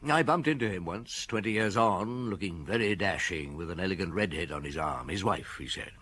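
A man's voice: deep voice, lively intonation, fairly quick, a bit bright. A man playing a middle-aged police inspector in a radio drama, terse and dry as he probes. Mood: wry, reflective. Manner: terse, probing, dry questioning. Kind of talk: British radio drama acting.

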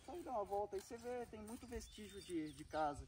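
A man's voice: sits high, animated, fast talker, a little mellow. A young man working on a farm, giving brief, easygoing replies outdoors. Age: young adult. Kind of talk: casual chat.

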